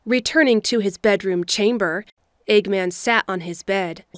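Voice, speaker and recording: A woman reading scripted story narration in a measured, storybook delivery, as the narrator of an audio drama. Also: mid-range voice, no room sound, studio quality. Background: music.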